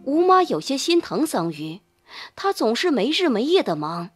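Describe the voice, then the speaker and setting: A woman's voice: high-pitched, sing-song, moderate pace, slightly rough, soft background music. A woman narrating a novel in a scripted, dramatic audiobook style.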